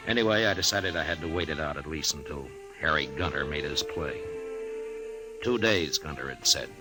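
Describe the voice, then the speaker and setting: An actor playing a steady, courteous American marshal in an old radio western, measured and understated. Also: male voice, low voice, close to an everyday voice, poor audio. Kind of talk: old radio western acting and first-person narration. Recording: old radio broadcast with music behind.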